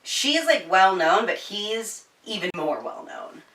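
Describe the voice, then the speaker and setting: Female host, casual book talk. Bright, forward tone, informal and giggly, recorded a bit off-mic in a roomy space. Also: medium pitch, big pitch swings, slow pace, far from the mic. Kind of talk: casual chatty book review. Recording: roomy echo, slightly off-mic.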